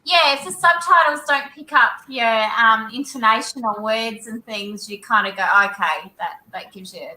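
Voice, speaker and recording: Woman, high voice, sharp bright tone, chatty, echoey room with faint noise. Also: moderate pace, hardly any pauses. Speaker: homesteader guest. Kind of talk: casual group video chat.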